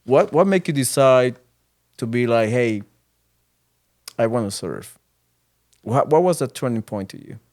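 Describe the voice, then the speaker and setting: A man's voice: medium pitch, animated, a little mellow. Casual male podcast host asking questions. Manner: informal, halting questions.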